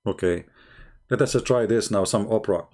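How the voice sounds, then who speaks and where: Man, low dark voice, blunt and argumentative, broken English, live-stream host on a desk mic. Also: moderate pace. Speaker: livestream host. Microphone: desk microphone.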